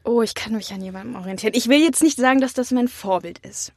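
A woman's voice: a little high, fast talker, darkish tone, no echo. A young woman talks casually in an interview. The sound is dry, with very little room in it. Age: young adult. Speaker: acting student being interviewed.

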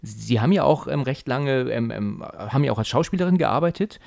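A man's voice: mid-range voice, lively intonation, brisk, creaky, darkish tone, dry recording, studio quality. A man hosting an interview, asking questions hesitantly with many fillers and agreeing often. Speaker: interviewer, host. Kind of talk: interview, casual chat.

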